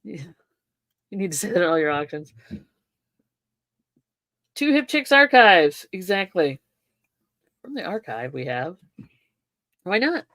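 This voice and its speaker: A woman's voice: lowish voice, sing-song, a little slow, pauses often, fairly bright tone, dry recording. A woman in her sixties co-hosting a casual chat, repeating catchphrases and laughing often in playful banter. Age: 60s. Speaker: co-host. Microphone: desk microphone.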